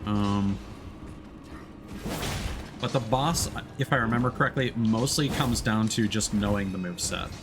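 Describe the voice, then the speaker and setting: A man giving casual live commentary as he plays a video game, thinking aloud in a halting way. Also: fairly low pitch. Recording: game music behind the voice. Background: game music.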